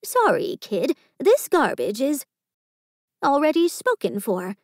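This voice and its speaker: A woman's voice: high voice, huge pitch swings, slow pace, no echo, studio quality, voice pushed up, exaggerated voice. A woman doing a brash eccentric garbage-guarding woman. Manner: brash, boastful tall talk.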